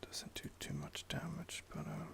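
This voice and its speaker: A man's voice: normal speed, a bit bright. A man whispering ASMR commentary while he plays a video game, musing aloud with many fillers. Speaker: game player and ASMR host.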